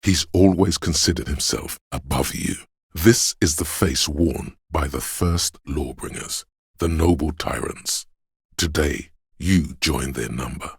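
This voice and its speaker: A domineering male inner voice of authority for a video game. The pitch is extremely deep and the tone smooth and resonant. He speaks with proud, deliberate command, in a close, dry recording. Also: no room sound, full character voice. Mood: commanding, intense. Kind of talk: scripted video game voice acting.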